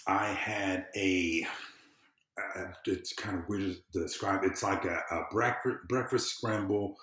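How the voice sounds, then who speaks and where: A man's voice: low-pitched, steady tone, measured pace, fairly bright tone. A man from the American South chatting casually and emphatically as a podcast guest. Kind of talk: casual interview chat. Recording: roomy, echoing sound.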